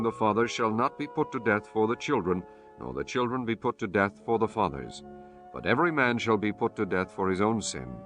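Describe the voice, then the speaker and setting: A man reading scripture as an audiobook narrator in a solemn, measured, formal manner. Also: fairly low pitch, a bit bright.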